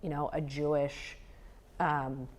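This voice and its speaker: A woman speaking as a poet on a panel, measured and full of "you know" fillers. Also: low voice, level tone, darkish tone, slight background noise. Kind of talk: panel discussion.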